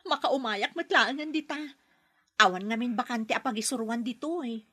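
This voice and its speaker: An actress playing an earnest young daughter with an Ilocano accent in a radio drama. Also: female voice, on the high side, normal speed, a bit bright, slightly rough, no room sound. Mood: delighted. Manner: earnest and pleading. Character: young daughter, hopeful job seeker.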